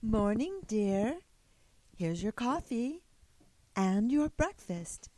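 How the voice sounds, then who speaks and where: A woman's voice: a little high, very animated, slow pace, dark tone, a little hiss or hum. A woman playing a sweet, doting housewife in a mock vintage radio advertisement. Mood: sweet, attentive. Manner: sweet, domestic, attentive.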